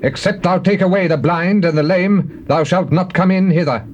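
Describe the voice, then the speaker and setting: An actor playing a defiant city defender, loudly taunting the enemy in a dramatized scripture. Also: male voice, high voice, moderate pace, close to an everyday voice. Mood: defiant, mocking. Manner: taunting, loud. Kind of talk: dramatized scripture, classical acting. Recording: dull, faintly noisy old cassette.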